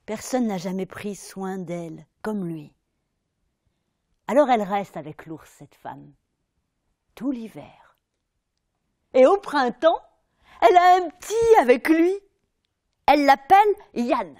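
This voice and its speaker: A woman's voice: fairly high pitch, lively intonation, slow, long pauses. A woman performing as a dramatic fairy-tale narrator on stage, emphatic and vivid, with swings in intensity. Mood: tender, hushed. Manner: emphatic, swinging from hushed to loud. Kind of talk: theatrical stage storytelling.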